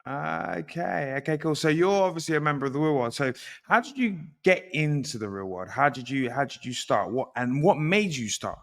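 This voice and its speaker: A man's voice: fairly high pitch, lively intonation, normal speed, vocal fry, hardly any pauses, big-room sound. A man with a British accent, interviewing in a casual, chatty way and often rephrasing his questions.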